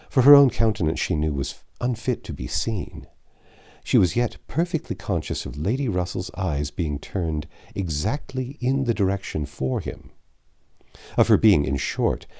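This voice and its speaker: A man's voice: deep voice, warm tone, slightly rough. A man reading a classic novel aloud as an audiobook narrator, in a scripted storytelling style.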